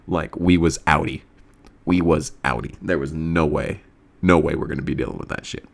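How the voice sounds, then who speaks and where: A man's voice: a bass voice, moderate pace, vocal fry. A man telling a casual, profane comedic story in a rambling way, often repeating himself and stammering. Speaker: storyteller and video creator.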